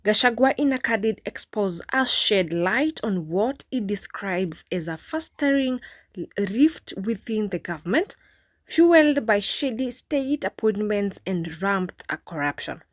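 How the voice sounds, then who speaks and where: A woman's voice: medium pitch, lively intonation, unhurried, no room sound, studio quality. A woman reading scripted news narration in a formal manner, occasionally stumbling over the script.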